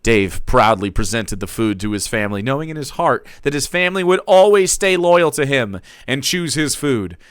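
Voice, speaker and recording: A man's voice: medium pitch, expressive, moderate pace, few pauses, smooth, no room sound. A young man streaming, giving a theatrical reading of fan fiction and breaking off to comment, on a close desk mic.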